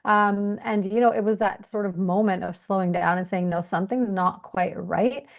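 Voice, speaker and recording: Woman doing a podcast interview, medium pitch, reflective n expressive, kinda muffled lo-fi audio. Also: moderate pace, creaky. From Canada, moved there from Bulgaria aged three. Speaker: podcast host. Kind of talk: warm conversational podcast interview.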